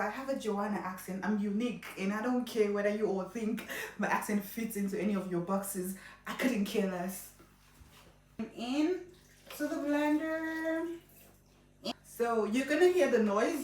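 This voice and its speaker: A woman's voice: mid-range voice, moderate pace, reverberant, distant mic, slight background noise. A young woman with a Nigerian accent chatting playfully to viewers while cooking in her home kitchen, laughing often. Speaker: cooking vlogger. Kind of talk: casual cooking tutorial chat.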